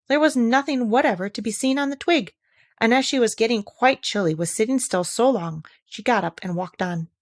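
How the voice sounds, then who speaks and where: Female audiobook reader, mid-range pitch, scripted children's story narration. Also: no room sound, clean studio sound.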